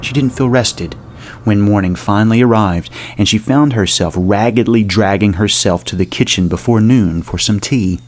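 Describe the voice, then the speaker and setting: Low-voiced man narrating horror. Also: slight background noise. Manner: measured, literary, ominous storytelling. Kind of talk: dramatic horror story narration. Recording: music behind the voice. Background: soft music.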